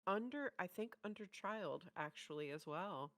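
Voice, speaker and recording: A woman's voice: medium pitch, animated, normal speed. A woman co-hosting a reaction show, chatting informally and giving her opinions. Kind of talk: casual reaction chat and ranking.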